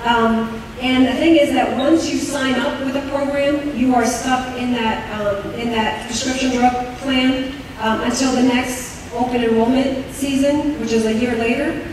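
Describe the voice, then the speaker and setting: A woman's voice: high voice, steady tone, unhurried. A woman explaining benefit rules at a town hall meeting, speaking hesitantly with many ums and restarts. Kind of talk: informal public talk with many fillers. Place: large echoey meeting hall. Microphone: room mic heard from a distance. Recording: echoey hall recording from far off with background noise.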